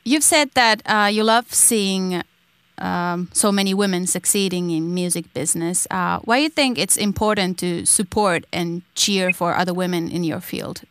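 A woman's voice: fairly low pitch, moderate pace, a bit bright, clear, resonant tone, dry recording. A woman music journalist asking interview questions plainly, with some hesitations.

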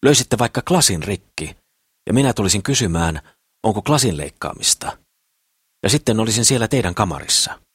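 Guy doing a bragging lovesick builder in an audiobook, slangy rambler, husky low voice. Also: animated, fairly bright tone, dry recording, studio quality. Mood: puzzled, scheming. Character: eager, boastful, simple lovestruck builder man courting a girl. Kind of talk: audiobook character voice.

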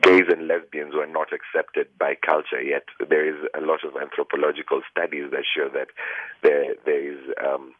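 A man with a strikingly deep, low voice answers interview questions over a tinny phone line. He speaks earnestly, pausing on fillers and restarting his phrases as he gathers his thoughts. The line is dry, with no room sound around him. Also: dry recording. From Kenya. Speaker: human rights lawyer, interviewee.

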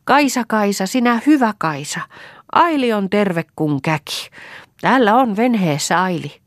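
A cheerful pastor's wife in an old storybook, lifted and bright as she calls out, as the narrator puts her on. The voice sounds dry and close to the mic. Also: medium pitch, dry recording, lightly acted. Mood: cheerful calling. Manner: cheerful, exclaiming.

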